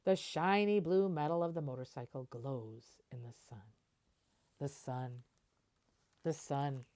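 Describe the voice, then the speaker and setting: A woman reading a children's picture book aloud like a teacher, expressively, pausing to explain words simply. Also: extremely low pitch, darkish tone.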